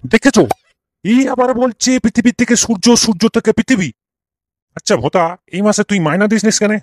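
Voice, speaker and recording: A man acts out a cranky old schoolmaster from a comedy cartoon. His voice sits fairly high for a man and is recorded close and dry. He rants and scolds in exasperation, piling up theatrical rhetorical questions. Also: normal speed, no room sound, close to an everyday voice. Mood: irritated. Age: older. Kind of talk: comic cartoon dialogue.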